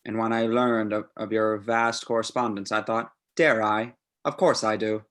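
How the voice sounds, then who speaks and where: A man playing an obsessed serial killer reading out his formal, measured letter to his idol in a screenplay table read. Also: lowish voice, steady tone, a bit bright, close to an everyday voice. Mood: reverent admiration.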